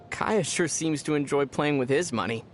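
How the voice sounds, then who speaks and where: A man playing a young adventurer hero in a video game, with a youthful voice and a measured, thoughtful, gently wry manner. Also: a little high, natural voice. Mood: wry, curious. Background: music.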